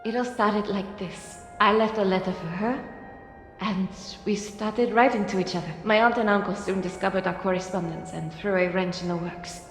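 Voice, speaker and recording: Woman as wistful young girl from France, measured dramatic storytelling, literary, animated game cutscene, mid-range voice, over music. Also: medium pitch, natural voice. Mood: reflective. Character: wistful French girl telling of her lost friend.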